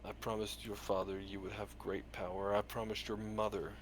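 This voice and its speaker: A man playing a reformed villain ninja mentor in a tabletop game, speaking in a deliberate, earnest, halting way. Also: lowish voice, level tone, close to an everyday voice. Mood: solemn, earnest. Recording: noticeable background room noise.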